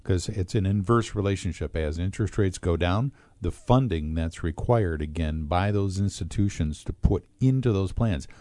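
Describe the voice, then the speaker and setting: A man with a very low, mellow voice talks through pensions and interest rates at a measured pace, pausing on fillers. The sound is close and dry, with no room around it. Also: dry recording. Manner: measured, explanatory, with frequent fillers. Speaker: financial advisor co-host. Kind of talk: radio talk show discussion.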